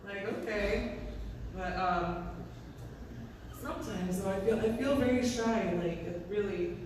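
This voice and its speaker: A woman in her thirties with a raspy voice, a singer-songwriter chatting on stage between songs in a live music venue, rambling and self-deprecating. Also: mid-range voice, slow, noisy background. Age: 30s. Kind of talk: casual stage banter. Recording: echoey room, mic from a distance.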